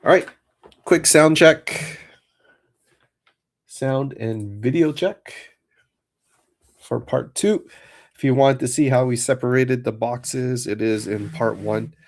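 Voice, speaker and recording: A man hosting a livestream card break, chatting casually, a little hoarse and nasal from a cold. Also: fairly low pitch. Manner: relaxed, chatty, many fillers.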